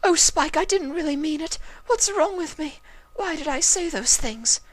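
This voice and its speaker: A woman's voice: sits high, expressive, normal speed, pitched above the performer's own voice. A woman voicing an elegant, haughty female cartoon pony fashionista with affected, dramatic, refined diction. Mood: distraught.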